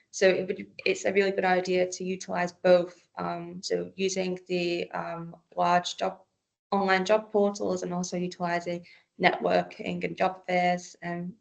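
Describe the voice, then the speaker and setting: Woman hosting a webinar, flat gravelly voice, lots of ums and you knows. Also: medium pitch. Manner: hesitant, lots of fillers and restarts. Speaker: webinar presenter. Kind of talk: casual webinar presentation.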